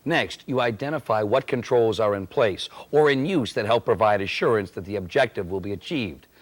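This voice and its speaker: A man's voice: fairly low pitch, normal speed, lightly acted. A man acting as a plain-spoken, emphatic presenter, giving scripted instruction in a corporate training film. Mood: instructive.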